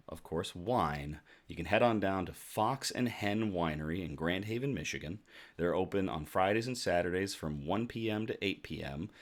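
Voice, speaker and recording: A man hosting a casual livestream, chatting to viewers in a jovial, effusive way, his voice slightly rough from shouting. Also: sits low. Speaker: host of a casual news and beer livestream.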